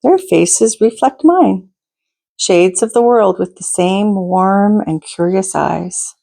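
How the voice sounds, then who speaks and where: Slow, mellow woman reading a children's storybook. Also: mid-range voice, gravelly. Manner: deliberate, expressive, pauses to ask listeners questions. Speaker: storytime host reading a picture book. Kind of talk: read-aloud storytelling for children, with asides to listeners.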